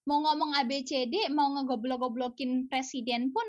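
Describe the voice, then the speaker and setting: High-pitched, outspoken woman. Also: little pitch movement, moderate pace, dry recording, lo-fi sound. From Indonesia. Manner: outspoken, emphatic, uses rhetorical questions, mixes in English phrases. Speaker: podcast guest, researcher living in Japan. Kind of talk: casual, opinionated interview chat.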